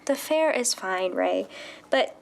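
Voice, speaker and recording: A woman's voice: medium pitch, animated, slow, gravelly, low-quality recording. A young woman playing a restless small-town mother who longs for adventure, earnest and discontented, in an audio drama. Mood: discontented, wistful. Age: young adult.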